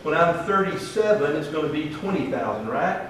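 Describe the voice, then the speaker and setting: A folksy, emphatic man in middle age leads a money seminar, tossing questions to his audience. His voice sits a little high. He is picked up from across a very echoey room with faint hiss. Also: lively intonation, fairly quick, recorded from a distance. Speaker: financial advisor teaching a seminar. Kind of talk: classroom presentation. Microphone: room mic from a distance.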